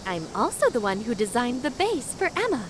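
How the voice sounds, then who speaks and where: A woman playing a bubbly, eccentric adult AI researcher in an animated game dub, breezy, chatty and enthusiastic. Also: on the high side, fairly quick, background noise. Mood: breezy, curious. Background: music.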